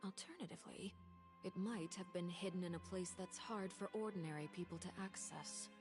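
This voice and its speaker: A woman acting a cool, calculating, secretive female spymaster in a video game, speaking in a measured, formal and deliberate way. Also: lowish voice, over music, lightly acted. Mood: brooding, calculating.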